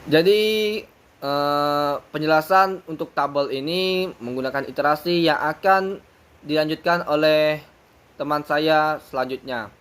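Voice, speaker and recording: A man's voice: fairly high pitch, measured pace, clean tone. A male student presenting a numerical methods lesson, steadily reading out calculation results. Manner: steady recitation of numbers.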